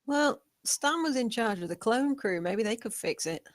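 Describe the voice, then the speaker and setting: A woman's voice: fairly high pitch, expressive, moderate pace, no echo, clean studio sound, close to an everyday voice. A woman playing a casual, wry spaceship crew member in a sci-fi comedy table read.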